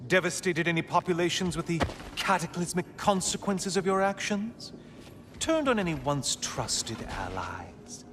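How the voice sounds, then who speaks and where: Smug sarcastic sun god for a game, super swoopy theatrical delivery, taunts an old foe. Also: male voice, fairly high pitch, big pitch swings, measured pace, noisy background. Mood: mocking, gleeful. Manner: theatrical, sarcastic, mock-cheerful. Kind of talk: dramatic video game acting.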